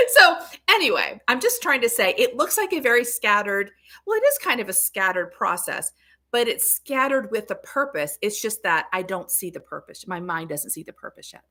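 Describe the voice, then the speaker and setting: A woman's voice: mid-range voice, bright, forceful tone. A woman hosting a casual livestream as a tarot reader, chatting to viewers in a rambling, self-interrupting way.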